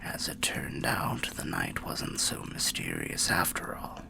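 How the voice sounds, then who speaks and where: Wanted: male actor for an old undead guide in fantasy ASMR roleplay, kindly and cautionary, slow gravelly delivery, breathy whispering. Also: extremely low pitch, wildly swooping pitch, heavily acted. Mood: welcoming, reflective. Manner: slow, rambling, archaic, gently cautionary storyteller. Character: weary, kindly old undead warrior soul, cryptic guide.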